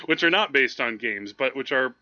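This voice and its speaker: A man's voice: medium pitch, expressive, moderate pace, pushed and bright, highs cut off. A man co-hosting a podcast, chatting casually about films in a rambling, enthusiastic way. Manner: rambling, enthusiastic explaining, frequent "like". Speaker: podcast co-host. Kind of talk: casual film chat.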